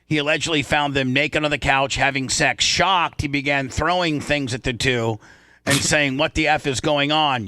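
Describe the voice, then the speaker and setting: A man's voice: on the high side, pushed and bright, no room sound. An American man hosting a comic talk radio show, bantering and reading news aloud, often stumbling and repeating words.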